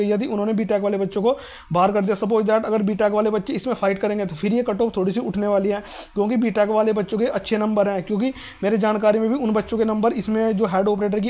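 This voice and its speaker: Male online exam adviser, North Indian accent, even delivery, repetitive and reassuring, slightly muffled lo-fi recording. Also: sits high. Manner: repetitive, reassuring, asks and answers own questions. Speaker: exam-advice video host. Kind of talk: informal explanatory talk to students.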